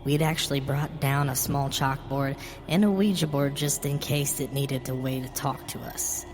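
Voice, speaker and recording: A woman's voice: very deep voice, steady tone, normal speed, noisy background. A woman reading a scripted first-person horror story as its narrator. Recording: long reverb with music behind. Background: music.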